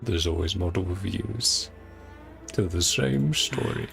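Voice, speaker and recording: A man's voice: low voice, lively intonation, a little slow, creaky, a bit bright, faint music behind. A man giving casual gameplay commentary, musing aloud and paraphrasing story dialogue in short clipped phrases. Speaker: game streamer.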